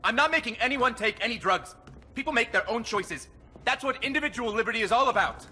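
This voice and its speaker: An actor playing a young cartel chemist in a game, defiant and whiny, agitated and self-justifying, with a strained husky voice. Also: male voice, extremely high pitch, expressive, brisk, bright, forceful tone, a little hiss or hum, full character voice. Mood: indignant.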